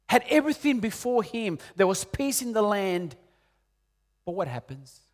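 A man in his late forties from Peru, preaching a sermon in an earnest way, rising loud on key points and dropping soft and low. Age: 40s. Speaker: pastor.

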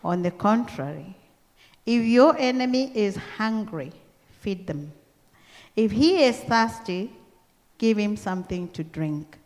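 Slow Kenyan woman preaching in a hall. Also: medium pitch, animated, a little mellow. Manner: slow, deliberate, earnest, with frequent pauses. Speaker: guest preacher. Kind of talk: sermon. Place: large church hall. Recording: long church-hall reverb.

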